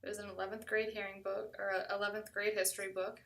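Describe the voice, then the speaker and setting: Bright-voiced American woman telling a story. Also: medium pitch, flat delivery, fast, gravelly, recorded from a distance. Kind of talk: first-person storytelling, conversational.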